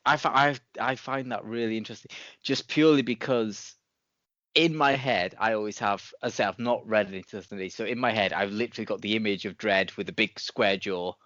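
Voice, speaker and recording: Deeper-voiced guy reviewing stuff on a podcast, rambly casual talk, dry room, slightly dull audio. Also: fairly quick, a bit bright, dry recording. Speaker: podcast co-host.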